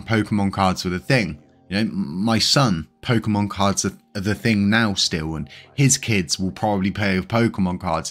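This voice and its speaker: A British man hosting a crypto channel, talking in a rambling, casual way with lots of fillers. He is congested and slightly wheezy. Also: deep voice. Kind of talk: casual news commentary.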